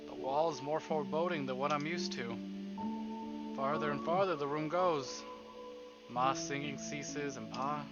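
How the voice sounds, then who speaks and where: A fairly high-pitched male game streamer gives a dramatic read-aloud of a visual novel. His delivery is theatrical and deliberate, breaking into livelier asides, with music clearly playing behind him. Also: dull audio. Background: game background music.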